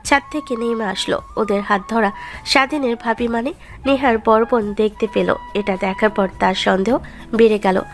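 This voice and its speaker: A woman's voice: fairly high pitch, fairly even intonation, normal speed, soft background music. A woman narrating a scripted romantic story as its storyteller.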